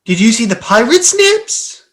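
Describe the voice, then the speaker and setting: A woman playing an excited, wonderstruck young boy in an audio play, exclaiming in drawn-out phrases. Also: on the high side. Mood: curious.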